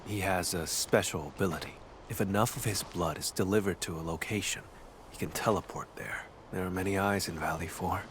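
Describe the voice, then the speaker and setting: An actor voicing a brooding, determined male investigator in a video game, deliberate and grim in manner. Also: low-pitched, fairly even intonation, slight background noise, lightly acted. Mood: pondering.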